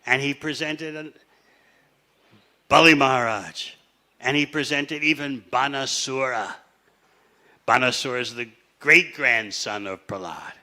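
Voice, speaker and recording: A man gives a scripture class in a relaxed, conversational way, often putting questions to his listeners. His voice is bright and clear, at a middle pitch. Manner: conversational, rhetorical questions, occasional laughter. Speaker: spiritual teacher.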